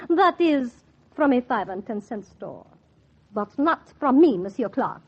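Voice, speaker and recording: A high-pitched, highly animated Frenchwoman buys furs with formal, amused disdain. Her phrasing is precise and mocking, in 1940s radio drama style. The recording is muffled and vintage. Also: a little slow, husky, exaggerated voice. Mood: mocking, disdainful. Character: haughty, amused French woman fur buyer.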